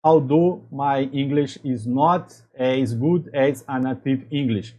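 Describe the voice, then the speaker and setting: A man from Brazil, a native Portuguese speaker with a Brazilian accent, giving career advice to camera as a chemical engineer and channel host, in halting English with frequent restarts. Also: mid-range voice, mellow. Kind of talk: talking-to-camera advice video.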